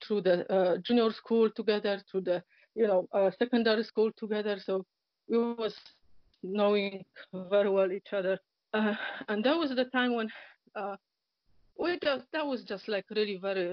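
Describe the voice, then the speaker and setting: A Polish-accented woman, a native Polish speaker, tells her story haltingly, with many fillers, second-language grammar and little laughs. Her voice sits at a middling pitch. She comes through a dull, lo-fi internet call with a dry, close sound. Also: no echo. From Poland. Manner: hesitant, many fillers, nonnative grammar, frequent soft laughs. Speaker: interview guest. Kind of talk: personal story in casual conversation. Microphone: online call.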